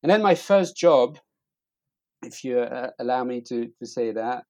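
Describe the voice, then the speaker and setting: A middle-aged man with a French accent telling personal stories in casual conversation, haltingly, often repeating words as he searches for them. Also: medium pitch, expressive, darkish tone, dry recording, studio quality. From eastern France. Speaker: interview guest.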